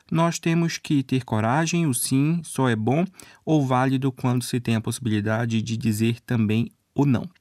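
A man's voice: medium pitch, a little mellow, dry recording, clean studio sound. A man presenting a radio news programme in a scripted broadcast style, reading out items.

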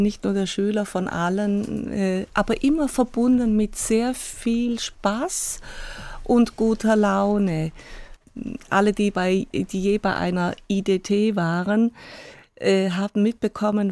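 A woman, chair of a teachers' association, giving measured interview answers in long flowing sentences. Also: mid-range voice, gravelly, a little mellow, dry recording.

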